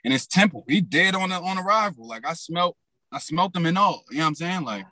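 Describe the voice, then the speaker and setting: Raspy bright-voiced young man. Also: on the high side, animated, a little fast, no echo. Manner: loose, slang-heavy storytelling. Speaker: rapper guest. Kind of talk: casual interview talk.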